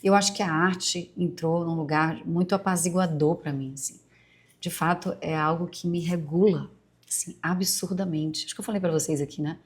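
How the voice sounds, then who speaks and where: A woman in her forties speaks in a low, unhurried register, her Bahian Brazilian accent softened by years in Rio. She hosts her podcast as a reflective monologue, wandering through memories with many asides and fillers, as if confiding in close listeners. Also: fairly even intonation. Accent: Brazilian Portuguese, Bahian softened by years in Rio. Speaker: podcast host.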